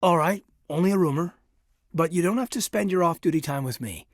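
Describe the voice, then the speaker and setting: An actor playing an earnest, reserved military officer in his thirties in a sci-fi video game, speaking in a measured, hesitant, husky voice. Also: on the high side, no room sound, studio quality. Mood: awkward, tentative. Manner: hesitant, measured, sincere, deferential. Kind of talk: video game character dialogue.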